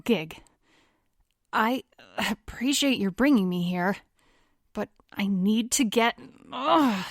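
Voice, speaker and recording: A woman's voice: mid-range voice, animated, slow, no room sound. Lady doing injured posh heiress in a radio play, poised n determined, takes her time, very dry audio. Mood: pained, composed. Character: poised, steely injured society heiress in her city's war. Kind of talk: audio drama acting.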